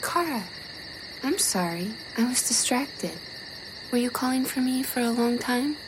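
An actress playing an entranced, gently apologetic space crew member, speaking softly and vaguely in an audio drama. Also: female voice, fairly high pitch, lively intonation, a little slow, noisy background. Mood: dreamy, apologetic. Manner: soft, vague, dreamy.

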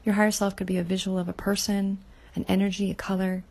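A woman leading a guided inner-child meditation, speaking softly and slowly, often in a half whisper. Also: mid-range voice, level tone, creaky.

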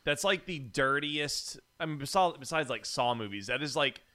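A man hosting a casual podcast, talking in halting, conversational phrases. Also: a little high, expressive, bright, forceful tone. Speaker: podcast host.

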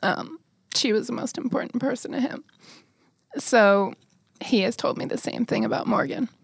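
Creaky-voiced woman, close and dry. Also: fairly high pitch, very animated, moderate pace, dry recording. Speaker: interview guest. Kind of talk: casual personal recollection. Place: studio.

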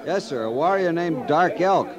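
An actor in a 1950s western radio drama playing a respectful junior cavalry lieutenant who gives clipped, deferential replies. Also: male voice, fairly high pitch, animated, moderate pace, dull audio, a crowd in the background, close to an everyday voice. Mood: respectful, stoic.

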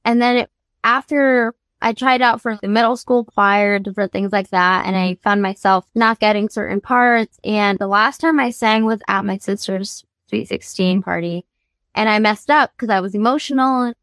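A woman's voice: mid-range voice, fairly bright tone, no echo. A young woman from the United States, a singer-songwriter guest, telling her life story candidly and earnestly, laughing easily as she talks.

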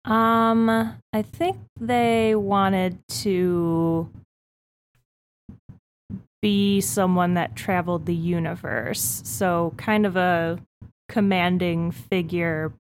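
A woman playing a tabletop game, describing her character in a playful, unhurried way. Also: fairly low pitch, steady tone, slight background noise.

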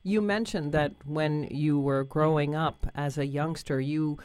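A woman hosting a radio interview, soft-spoken and encouraging, with many murmured replies. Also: deep voice, steady tone, unhurried, no echo. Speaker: radio host.

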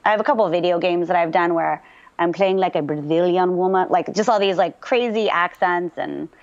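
A woman with a low, deep speaking voice talks about her work as a voice actor in a casual interview. She is energetic and talkative and fills her speech with "um" and "you know." The recording sounds a little dull and muffled. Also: a little fast. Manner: lively and talkative, with many fillers.

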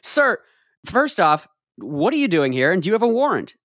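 A young man in an improvised comedy scene, asking incredulous questions and jumping up in pitch when excited. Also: normal speed, no room sound, clean studio sound. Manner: animated, incredulous questioning, jumps high in pitch.

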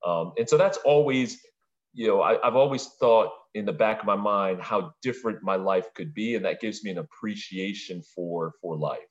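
A man's voice: fairly low pitch, normal speed, lo-fi sound. A middle-aged man from Brooklyn, a podcast interview guest, talking reflectively in long, winding sentences. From Brooklyn, New York.

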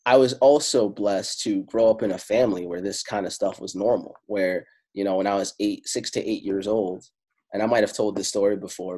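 A man whose first language is Italian talks about spirituality in a relaxed, storytelling way, swearing casually and drawing out the words he wants to stress. His voice sits fairly low and has a husky edge. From Italy. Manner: casual and profane, slows down for emphasis. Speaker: spiritual speaker.

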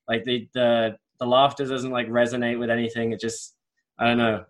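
A twenty-one-year-old man co-hosts a relaxed chat, searching for words and restarting his sentences. He swears casually as he talks. His pitch is ordinary for a man. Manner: loose, halting, swears casually. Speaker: podcast co-host.

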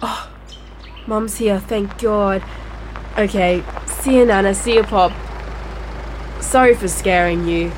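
A woman's voice: medium pitch, takes it slowly, pauses often, a little hiss or hum, close to an everyday voice. An actress playing an exasperated schoolgirl granddaughter in a comic audio drama. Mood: relieved. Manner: exasperated, sighing.

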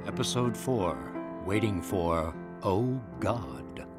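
A man's voice: on the low side, lively intonation, slow. A man working as announcer, reading a scripted introduction to a radio drama. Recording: loud theme music behind.

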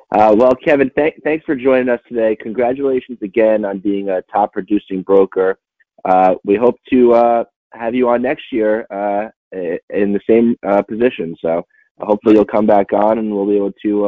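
A man's voice: on the low side, fairly even intonation, fairly quick, no room sound. A man hosting a podcast, asking questions and closing the interview in a friendly, hesitant way. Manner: friendly, hesitant with many uh fillers. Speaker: podcast host. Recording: dry, tinny phone-like line.

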